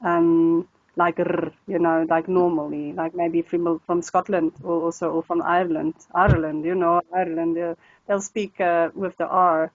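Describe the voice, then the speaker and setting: A woman's voice: on the low side, flat delivery, moderate pace, dry recording, narrow, tinny audio. A woman from South Africa whose first language is Afrikaans, talking casually as a language tutor in an interview, with an Afrikaans accent and frequent laughs and restarts. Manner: chatty, laughs often, many fillers and restarts.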